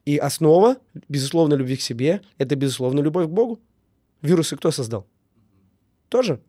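A man getting over a cold talks quickly and earnestly in a casual interview. His voice sits fairly high but sounds mellow, and the recording is dry and close. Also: dry recording. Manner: earnest, repeats key words, many fillers. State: recovering from a cold. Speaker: business owner, guest.

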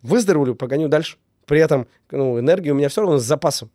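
Male interview guest, slight cold, quick delivery, soft-edged tone, emphatic list-like repetition, informal. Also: fairly high pitch, lively intonation, no room sound, studio quality. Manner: emphatic, repeats phrases in lists. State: getting over a cold. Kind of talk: casual reflective talk on relationships.

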